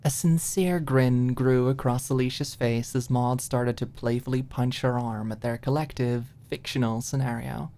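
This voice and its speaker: A woman narrating a story as a scripted audiobook, in a soft, expressive storytelling manner. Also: rumbling low, normal speed, few pauses.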